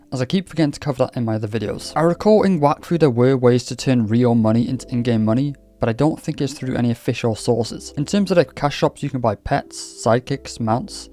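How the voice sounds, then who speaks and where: A man's voice: medium pitch, mellow. A man from Wales hosting a casual gaming vlog, talking in a chatty, candid, lightly scripted way. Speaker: gaming YouTuber. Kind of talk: casual game commentary vlog. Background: faint background music.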